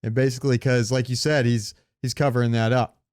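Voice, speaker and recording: A man's voice: medium pitch, flat delivery, fairly quick, a little mellow, smooth, dry recording, studio quality. A man co-hosting a podcast, chatting casually with informal, opinionated, sweary talk. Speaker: podcast co-host. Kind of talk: casual chat about comic book movies.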